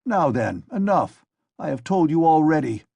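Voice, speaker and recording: A man's voice: medium pitch, sing-song, normal speed, breathy edge, no echo. A man voicing a pompous, enraged police officer who scolds and threatens in a bullying tone. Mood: stern scolding. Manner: shouting, bullying, contemptuous.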